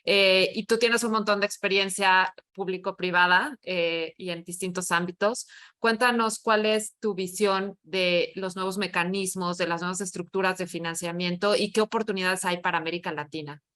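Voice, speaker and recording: A woman moderating a webinar panel in a conversational, measured way. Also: lowish voice, steady tone, fairly bright tone.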